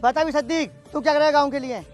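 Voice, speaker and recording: A high voice from a male actor plays a village schoolmaster in a comedy sketch. He is bossy and exasperated, scolding like an announcer to unruly people, over faint music. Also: fast talker. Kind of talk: broad comedy sketch acting. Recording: background music under dialogue.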